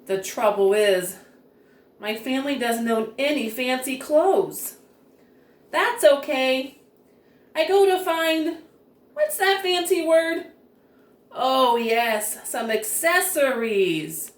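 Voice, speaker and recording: A woman's voice: high-pitched, lively intonation, slow, pauses often. A woman reading a picture book aloud expressively, enunciating with care for young children. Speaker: children's story reader. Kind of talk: expressive storybook read-aloud. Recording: roomy echo, distant microphone.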